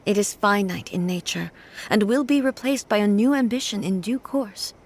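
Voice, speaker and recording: A woman playing a composed, dignified, reflective immortal ruler in a video game, formal and measured. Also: medium pitch, close to an everyday voice. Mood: reflective, solemn. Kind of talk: video game character acting.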